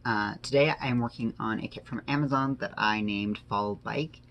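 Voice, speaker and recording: A man with a creaky, fry-laden voice chats casually while working on a craft. He rambles informally and corrects himself along the way. There is clear background noise with small clicks in the recording. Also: lowish voice, moderate pace, a bit bright, dull audio. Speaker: host of a diamond painting vlog.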